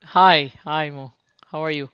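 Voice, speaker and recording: A woman's voice: deep voice, steady tone, unhurried, clear, resonant tone. Woman, from Jordan, fund director, interview answers, level delivery, dull lo-fi sound. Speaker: venture fund managing director, guest. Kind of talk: interview answers about her career.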